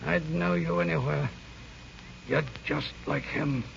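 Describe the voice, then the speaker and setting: Stern, gravelly old uncle. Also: male voice, fairly high pitch, steady tone, full character voice. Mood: cold, hostile. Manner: curt, cold, slow-spoken. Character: stern, embittered elderly English uncle. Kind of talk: old-time radio drama acting. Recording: muffled, hissy old radio recording.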